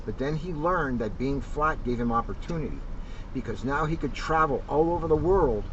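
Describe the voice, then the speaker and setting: A man's voice: lively intonation, moderate pace, a bit bright. A male police officer giving an informal, encouraging address to children and families, earnest and repeating phrases for emphasis. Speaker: police officer co-hosting a community message. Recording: distant, dull mic with clear rumbling noise.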